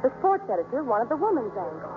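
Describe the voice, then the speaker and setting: A woman playing a spirited, earnest young newspaper columnist in 1950s radio drama style, indignant and quick to stammer when flustered. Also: a little high, sing-song. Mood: wry narrating. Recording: muffled narrow-band old radio broadcast, music.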